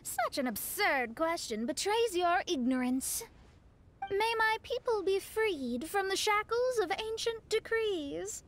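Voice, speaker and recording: High-voiced, haughty theatrical princess. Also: female voice, lively intonation, slow pace, heavily acted. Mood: grand, imperious. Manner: pompous, formal. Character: haughty theatrical young princess with grandiose speech. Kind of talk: anime-style video game dialogue.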